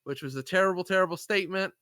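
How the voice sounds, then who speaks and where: A man's voice: high-pitched, very fast, fairly bright tone, clear, resonant tone, dry recording, studio quality. A man co-hosting a live stream, chatting casually about gaming news in a rambling way. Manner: rambling, lots of fillers and agreement. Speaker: podcast co-host. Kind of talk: casual chat.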